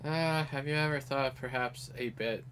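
A man's voice: mid-range voice, moderate pace, fairly bright tone, a little hiss or hum. A man giving casual, rambling live game commentary in an offhand way. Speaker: gameplay host.

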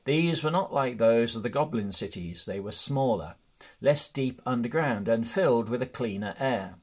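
A man narrating a storybook in measured, deliberate phrases. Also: medium pitch, animated. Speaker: audiobook reader.

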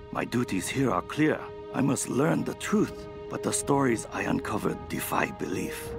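A grave court envoy for a game journal, speaks in formal, theatrical phrases with a gravelly edge. His wary voice rises and falls widely over background music. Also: on the low side. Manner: measured, dramatic, formal. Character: grave, wary male ambassador spying at a foreign court. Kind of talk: acted reading of a journal.

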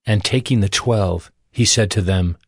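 An actor playing a solemn narrator of scripture, measured and formal, in a dramatized audio Bible. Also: male voice, fairly low pitch, husky, no room sound, studio quality. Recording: very loud background music.

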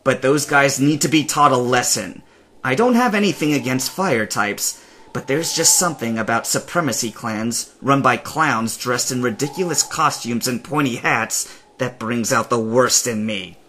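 A man reading a story aloud, voicing a calm, stern hooded teenage stranger in his own voice. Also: medium pitch, normal speed, a little hiss or hum, lightly acted.

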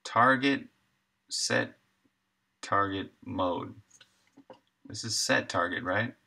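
A man's voice: fairly low pitch, very slow, gravelly, sharp tone. A middle-aged man thinking aloud in short, laid-back fragments as he live-streams his coding work. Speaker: game developer and live streamer. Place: indoor room at a desk, streaming while coding.

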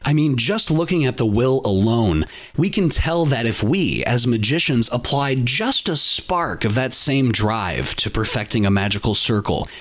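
A man hosting a podcast, delivering a scripted monologue in an earnest, emphatic way. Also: mid-range voice. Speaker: podcast host.

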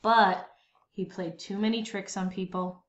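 A woman reading a children's story aloud with expressive, dramatic, emphatic phrasing. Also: medium pitch, measured pace. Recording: dull lo-fi, roomy echo.

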